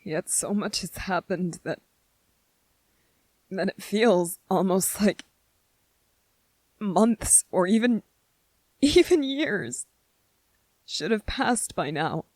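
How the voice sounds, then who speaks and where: A woman voicing an anxious, weary young male anime soldier in a halting ASMR roleplay monologue, pausing and repeating words. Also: medium pitch, lively intonation, a little mellow, no echo. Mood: weary, overwhelmed.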